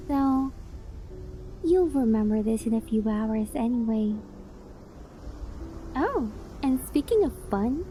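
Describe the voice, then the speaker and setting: A woman's voice: high voice, mellow, a little hiss or hum, rough recording. A young woman voicing a possessive, doting fox-spirit wife in a cutesy, affectionate ASMR roleplay. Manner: cutesy, clingy, affectionate, with breathy gasps and giggles. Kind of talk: ASMR roleplay, addressing the listener.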